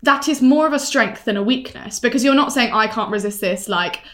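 A woman's voice: on the high side, a little fast. A woman hosting a podcast interview, chatting in a reflective, easygoing way and laughing often. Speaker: podcast host. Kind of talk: casual interview chat.

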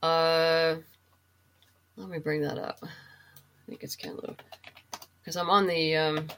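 A woman's voice: deep voice, level tone, takes it slowly, a bit bright. A woman speaking as an interview guest, explaining things conversationally and thinking aloud in a casual chat about books. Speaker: guest, comic book writer and novelist.

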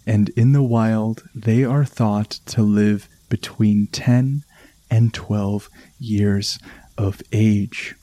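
A man with a Canadian accent hosting a calm relaxation podcast, speaking in a soothing, unhurried way. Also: fairly low pitch, no room sound. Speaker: podcast host. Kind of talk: slow relaxation podcast narration.

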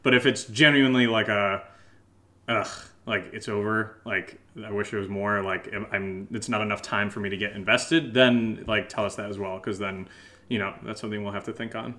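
A man's voice: fairly low pitch, fairly even intonation, normal speed, bright, forceful tone. A man co-hosting a podcast in a casual, unscripted chat, informal and hesitant, peppering sentences with "like". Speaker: podcast co-host.

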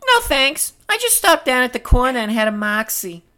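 A woman reading the part of a bright, innocent young female friend in a stage play. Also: high voice, very animated, moderate pace, voice pushed up, full character voice. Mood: perky. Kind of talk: script read-through of a play.

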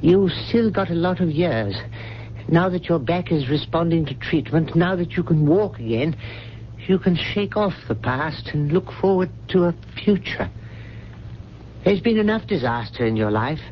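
An actor playing a devoted, dying older butler in a radio drama, speaking in a grave, courteous and earnest way. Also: male voice, a little high, lively intonation, normal speed, lightly acted. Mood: tender, earnest. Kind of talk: 1970s radio drama acting. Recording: dull, noisy 1970s radio recording.

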